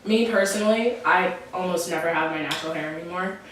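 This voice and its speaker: Soft-spoken teen girl, far and echoey. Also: fairly low pitch, quick pace, slight background noise. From Massachusetts. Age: teens. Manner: hesitant, many ums. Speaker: interviewee. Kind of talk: reflective interview answers. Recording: echoey room from far off, music behind.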